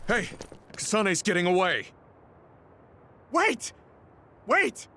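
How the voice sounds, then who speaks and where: An actor playing an earnest, emotional young hero in a video game, with an urgent way of speaking. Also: male voice, high-pitched, big pitch swings, slow, sharp tone, slight background noise, heavily acted. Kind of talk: dramatic video game voice acting.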